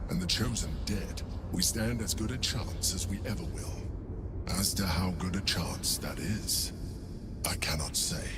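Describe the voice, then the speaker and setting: An actor playing a calm, calculating mind flayer ally in a video game, speaking in a measured, grave way. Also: male voice, on the low side, lightly acted. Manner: measured, grave, breathy at phrase ends. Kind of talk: video game character acting. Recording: loud background music.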